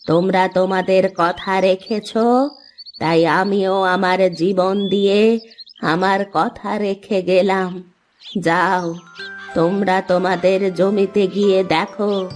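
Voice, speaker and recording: A woman's voice: mid-range voice, close to an everyday voice. A woman voicing a mysterious, vengeful old woman with magical powers in a cartoon folk tale, speaking in a stern, pronouncing manner. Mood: solemn.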